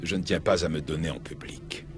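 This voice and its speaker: A man's voice: a bass voice, fairly quick, music in the background. An actor playing a suave, formal and cautious businessman in a video game, evasive in his manner. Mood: cautious, courteous. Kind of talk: video game dub dialogue.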